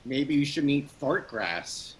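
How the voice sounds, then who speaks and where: An actor playing a boyish young adventurer in a sci-fi table read, with a husky voice. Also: male voice, mid-range voice, lively intonation, slow. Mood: wary.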